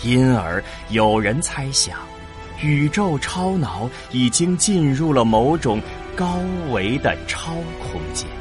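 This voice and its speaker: A man's voice: medium pitch, sing-song, takes it slowly. A man narrating a science fiction audio drama as a scripted, dramatic story. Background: prominent background music.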